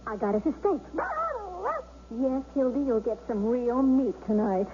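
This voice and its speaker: An actress voices a patient wife in a 1970s radio play, who speaks in a soothing, reassuring way. Her voice is high but mellow, and the broadcast sound is slightly dull, with faint hiss. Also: unhurried, close to an everyday voice. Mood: cheerful. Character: caring wife, patient and gentle. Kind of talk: 1970s radio drama acting.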